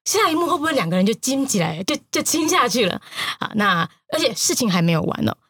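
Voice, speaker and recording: Lively, husky Taiwanese woman storyteller. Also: on the high side, fast talker, dry recording, clean studio sound. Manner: expressive, teasing. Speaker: news podcaster, guest storyteller. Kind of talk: playful news storytelling.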